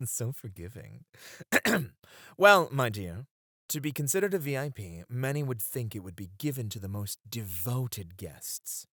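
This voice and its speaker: Man acting a flirty bunny host, lively intonation. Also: mid-range voice, measured pace, no room sound, clean studio sound, heavily acted. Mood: courteous, explaining. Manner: teasing, courtly, eager to please. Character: flirtatious, devoted male bunny host at a club. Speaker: club host. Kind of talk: flirty ASMR roleplay acting.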